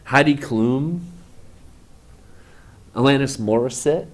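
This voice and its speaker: A man's voice: mid-range voice, slow pace. A man co-hosting a live stream in casual chat, laid-back and laughing, with lots of ums.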